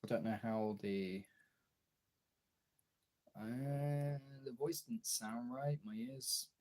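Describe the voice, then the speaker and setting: A British man with a raspy, hoarse voice from being ill, chatting casually and swearily on a livestream. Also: mid-range voice, very slow, darkish tone. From Britain. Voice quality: raspy, throaty. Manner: informal, sweary. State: hoarse from illness, throat clearing. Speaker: live streamer and audio voice performer.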